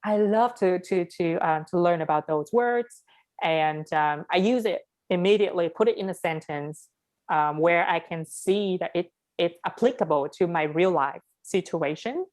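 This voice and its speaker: A woman's voice: on the low side, normal speed, a little mellow. A young woman with a Vietnamese accent, speaking frankly and with animation in a casual conversation. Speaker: interviewee.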